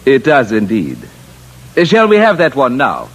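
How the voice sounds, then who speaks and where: An actor playing a haughty alien government official in a radio drama, speaking formally and pompously with sly courtesy. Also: male voice, mid-range voice, huge pitch swings, moderate pace. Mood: coolly courteous. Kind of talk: 1950s radio drama acting. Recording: noisy old-time radio recording.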